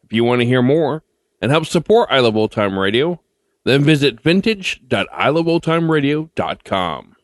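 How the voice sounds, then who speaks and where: A man's voice: medium pitch, very animated, normal speed, dry recording, clean studio sound. A man hosting a podcast, reading a scripted introduction. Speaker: podcast host.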